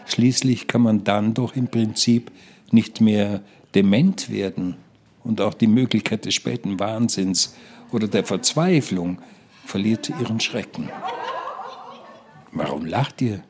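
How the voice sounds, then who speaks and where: A care-home inmate in a radio play, talking in a fairly low voice. He is darkly cheerful and coaxing, leaning on rhetorical questions and dwelling on key words. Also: a little slow, a little mellow, slight background noise. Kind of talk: radio play monologue and dialogue.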